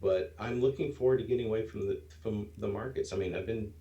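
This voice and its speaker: A man's voice: lowish voice, fast, mellow, reverberant, highs cut off. A man in his sixties, a trader in a mentoring program, chatting in an easygoing, rambling way. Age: 60s. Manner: easygoing, rambling, laughs at himself. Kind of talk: casual chat.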